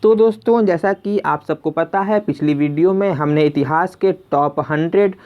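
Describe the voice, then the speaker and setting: A man's voice: on the high side, moderate pace. Man teaching, dull lo-fi recording. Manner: conversational, repeats each question before answering, addresses viewers as friends. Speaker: quiz teacher and video host. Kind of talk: spoken exam quiz lesson, reading questions and giving answers.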